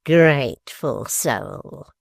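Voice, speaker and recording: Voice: mid-range voice, very animated, vocal fry, no room sound, studio quality, exaggerated voice. A reader voicing Quilp, a malicious, cunning male dwarf who drawls with false affection. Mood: mocking. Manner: slow, mocking, falsely affectionate.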